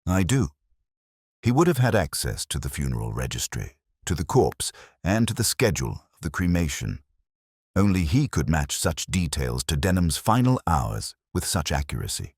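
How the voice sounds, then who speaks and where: A man reading the part of a calm, incisive Victorian gentleman detective in a story, speaking deliberately and precisely. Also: rumbling low, slightly rough, no echo, clean studio sound, exaggerated voice. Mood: deliberate, certain.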